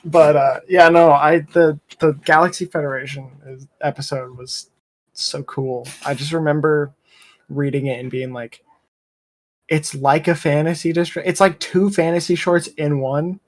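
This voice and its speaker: A man's voice: a little high, fairly even intonation, normal speed. A man in a casual chat, speaking in a hesitant way with phrases that trail off.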